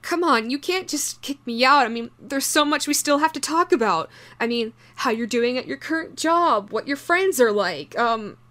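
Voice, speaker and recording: A woman voicing an obsessive shapeshifting doppelganger posing as someone's ex, speaking haltingly in an intimate horror audio roleplay. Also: sits high. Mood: flustered, pleading. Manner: halting, stammering, soft-spoken in menace.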